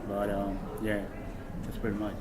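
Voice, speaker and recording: A young man from Kenya, a talk-show guest, telling childhood stories in a relaxed way with many fillers, recorded indoors on a camera mic a few feet away. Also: fairly low pitch, moderate pace, background noise. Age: young adult. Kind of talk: casual chat. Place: small room indoors, seated on a couch.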